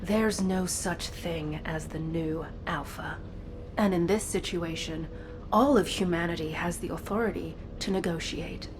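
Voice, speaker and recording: An actress playing a defiant human negotiator in a video game, stern and deliberate, holding back anger. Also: female voice, fairly low pitch, natural voice. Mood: restrained anger. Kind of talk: scripted game dialogue. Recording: noisy game ambience behind voice.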